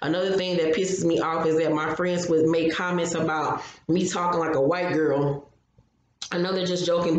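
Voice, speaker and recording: A woman co-hosting a casual podcast, upbeat and relaxed, reading a listener's letter aloud. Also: sits low. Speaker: podcast co-host. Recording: very echoey room with faint noise.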